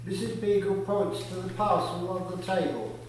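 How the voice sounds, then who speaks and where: A man narrating a scripted radio play in a measured storytelling manner. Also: sits high, fairly even intonation, a little mellow, slight background noise. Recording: very echoey room, far from mic.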